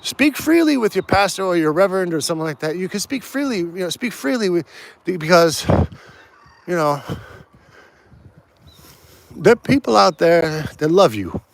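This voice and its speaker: A man's voice: high voice, expressive, normal speed, gravelly, long pauses. A man livestreaming on a walk outdoors, rambling casually with many restarts and answering viewer comments. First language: English. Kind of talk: casual live stream chat.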